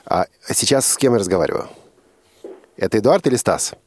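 A man's voice: on the low side, lively intonation, brisk. A man hosting a radio show from a studio, asking probing questions in a conversational style and rephrasing as he goes. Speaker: radio host and interviewer.

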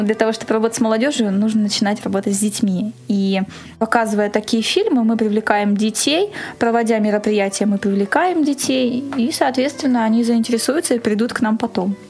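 A woman's voice: fairly high pitch, creaky, a little mellow. A woman being interviewed as an event organiser, talking at length in a casual way and rephrasing for emphasis.

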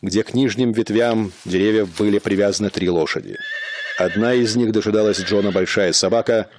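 Low-voiced man narrating a radio play. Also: noisy background. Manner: measured, dramatic storytelling.

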